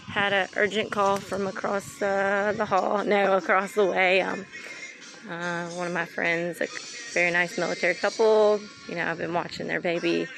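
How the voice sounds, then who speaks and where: Woman vlogger, mid pitch, gravelly edge, rambling motivational faith talk, faint music under. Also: low-quality recording. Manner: heartfelt, rambling, encouraging. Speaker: vlogger and mom.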